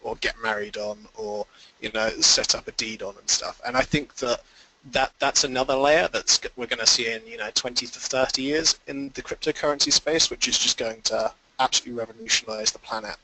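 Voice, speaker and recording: A man's voice: mid-range voice, pushed and bright, rough recording. A man giving informal, explanatory answers as an investor guest in a conference call interview.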